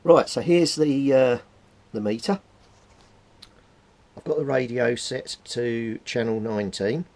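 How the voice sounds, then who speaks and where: A man's voice: mid-range voice, takes it slowly, pauses often, darkish tone, no echo. A middle-aged British man giving a casual hands-on demonstration, informally thinking aloud as he works at a bench. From Britain. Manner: informal, thinking aloud while handling equipment. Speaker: hobbyist radio repairer presenting a video. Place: indoors at a cluttered workbench.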